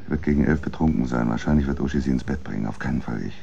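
A cold, scheming middle-aged husband in a radio play. His voice is extremely low and gravelly, with terse, controlled delivery. The recording is a little dull and muffled. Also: very fast, warm tone, full character voice. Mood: coolly explaining. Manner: matter-of-fact, terse, controlled. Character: cold, calculating husband calmly plotting his wife's death. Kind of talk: naturalistic German radio drama acting.

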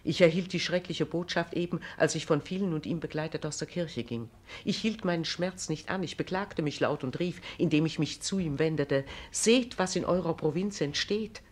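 Imperious, aggrieved regent, formal German drama. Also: female voice, deep voice, moderate pace, a little mellow. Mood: troubled, indignant. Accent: standard German. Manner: formal, declamatory, emphatic. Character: proud, aggrieved female regent of the Netherlands, imperious. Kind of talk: classic German stage-drama radio acting.

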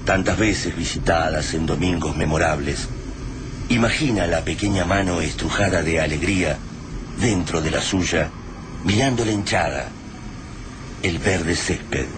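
A man's voice: sits low, unhurried. A man with an Argentine accent performing as the grave, poetic narrator of a radio drama about war. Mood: solemn. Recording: noisy ambience behind the voice.

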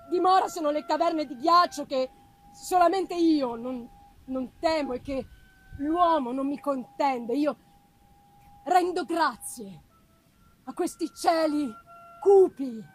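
A woman voicing Frankenstein's creature, a wretched, eloquent male monster, in a solemn, halting, declamatory gothic reading. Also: sits high. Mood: bitter, proud. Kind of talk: dramatic reading of a gothic novel.

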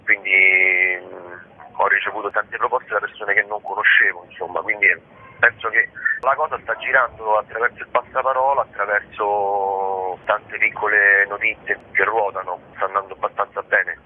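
A man's voice: fairly low pitch, flat delivery, normal speed, breathy edge. A man speaking as an interview guest on the radio, explaining his project. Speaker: guest, curator of a digital publishing project. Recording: thin, narrow phone-line sound.

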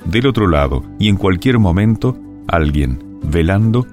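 Deep-voiced male announcer over guitar. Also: lively intonation, measured pace. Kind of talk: scripted public service radio announcement. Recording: music bed under the voice. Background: soft guitar music.

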